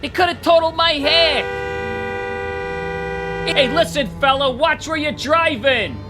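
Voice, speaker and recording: An actor playing a blunt, loud-mouthed, streetwise male cab driver in a radio drama. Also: very high-pitched, unhurried, over music. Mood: indignant. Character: brash, indignant city cab driver.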